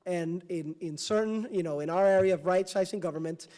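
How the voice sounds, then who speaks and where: A man testifying as governor at a hearing, answering questions in a halting way with many fillers and restarts. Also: sits high, warm tone. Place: congressional hearing room.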